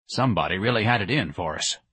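Harried, short-tempered company owner in a storybook, husky and demanding, varied in pitch; a man acts him out. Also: animated, fast, fairly bright tone, dry recording. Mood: brooding. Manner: curt, demanding. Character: exasperated, irritable male business owner.

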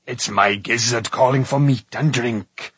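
Husky, bellowing warrior king. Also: mid-range voice, fairly bright tone, dry recording. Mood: boisterous. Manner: blustering, drawn-out delivery. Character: huge, booming, hearty old warrior king.

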